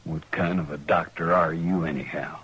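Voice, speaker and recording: Low-voiced bitter frontier farmer. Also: male voice, fairly even intonation, normal speed, a bit bright. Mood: bitter, accusing. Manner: rustic ungrammatical drawl, accusing. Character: bitter, hot-tempered frontier farmer husband, rough man. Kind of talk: 1950s Western radio drama acting. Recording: noisy vintage radio recording.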